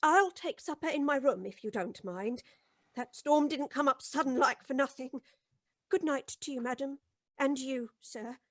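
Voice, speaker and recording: An actress playing a fretful, doom-laden housekeeper, wailing and melodramatic in old-time radio style. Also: female voice, high voice, normal speed, fairly bright tone, dry recording. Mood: gloomy, pious. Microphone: close studio microphone.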